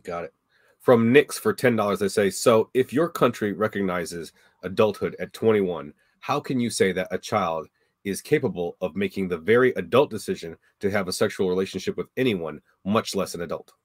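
A man moderating a livestream debate, reading out viewer questions in a brisk, flat way. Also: sits low, no room sound, clean studio sound.

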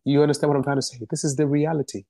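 A man's voice: fairly high pitch, level tone, very fast, vocal fry, dark tone, no echo, clean studio sound. A man from Ghana, a musician and activist, answering interview questions in a passionate, emphatic way and repeating phrases for stress.

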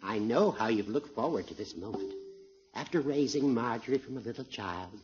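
An actor playing a genial, wordy judge with florid, formal phrasing in a 1950s radio comedy. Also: male voice, medium pitch, expressive, moderate pace, a little hiss or hum, natural voice. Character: genial, wordy older judge, Gildersleeve's friend. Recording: dull, muffled old radio recording.

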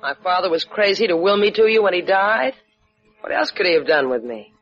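A woman's voice: on the low side, animated, a little fast, soft background music, close to an everyday voice. A woman playing a wry, devoted young adventuress in a 1950s radio drama, with a teasing, laconic way of talking. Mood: teasing, challenging. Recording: dull old radio recording.

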